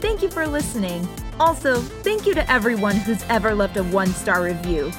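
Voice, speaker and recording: A woman's voice: medium pitch, expressive, a little fast, over music. A woman giving a thank-you message for podcast credits.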